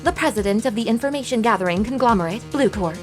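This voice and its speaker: A woman acts out a defensive young secretary from a video game dub. Her voice is high and very lively, dramatic and prone to flustered stammers, with a sulky, evasive edge. Music plays clearly behind her. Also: quick pace, natural voice. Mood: sulky, defeated.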